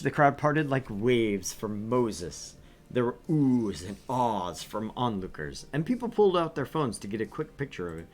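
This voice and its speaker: A man streaming a visual novel, reading narration aloud and chatting with his co-host, animated and quick to laugh. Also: mid-range voice. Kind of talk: game stream reading and chat.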